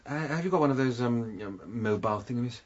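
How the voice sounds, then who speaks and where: A man's voice: mid-range voice, animated, fast talker, darkish tone, slight background noise, close to an everyday voice. Wry middle-aged english gent man acting, reluctant bookish type, very up and down, bit dull radio sound. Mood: grave, flustered. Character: dry, sardonic, reluctant gentleman historian neighbour.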